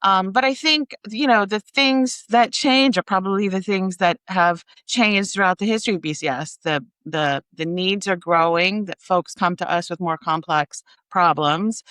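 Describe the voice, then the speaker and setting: A middle-aged American woman answering interview questions in a measured, thoughtful, conversational way. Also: mid-range voice, fairly bright tone, clear, resonant tone, no echo. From raised in Kansas, United States. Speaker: interview guest, nonprofit executive.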